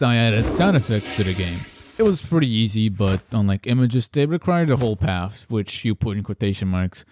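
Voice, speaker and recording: A man's voice: mid-range voice, darkish tone, no echo. A man narrating a scripted but casual video devlog in a self-deprecating, sarcastic way.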